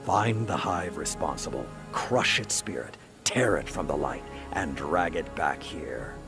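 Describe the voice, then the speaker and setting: An actor playing a stern old war commander in a video game, with a gravelly voice and a grave, authoritative delivery. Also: male voice, very deep voice, expressive, unhurried, exaggerated voice. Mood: urgent, commanding. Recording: loud music bed behind voice.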